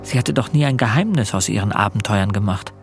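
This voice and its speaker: A man's voice: on the low side, fairly quick, vocal fry. Male radio-play narrator over music. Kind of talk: scripted literary narration with inner monologue.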